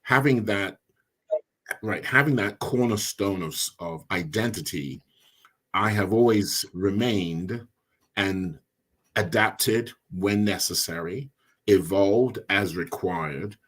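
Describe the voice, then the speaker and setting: A middle-aged immigrant man hosting a podcast, speaking in a measured, deliberate way. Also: on the low side, a bit bright, husky. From immigrant, came as a child. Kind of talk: casual discussion.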